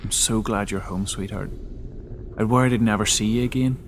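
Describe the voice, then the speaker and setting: A man's voice: fairly low pitch, steady tone, a little fast, a little hiss or hum, close to an everyday voice. Man reading as a worried, soothing mother. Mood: soothing, relieved. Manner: soothing, tender wording. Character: loving, worried mother soothing her child.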